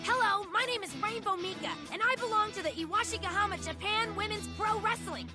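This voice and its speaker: A woman playing an eager, bubbly young pro wrestler in a video game, earnest, excitable and polite, under loud music. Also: very high voice, fairly quick. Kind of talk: video game story cutscene acting.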